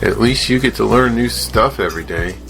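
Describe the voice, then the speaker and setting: A man's voice: on the low side, a little fast, gravelly, fairly bright tone, soft background music, low-quality recording. A man acting in an audio drama as a self-assured, grumbling tech student.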